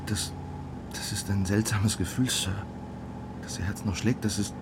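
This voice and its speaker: Low-voiced, earnest karate master. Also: male voice, steady tone, unhurried, very noisy. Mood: moved. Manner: polite, earnest. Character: broke, deferential, devout karate master. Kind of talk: naturalistic German radio drama acting.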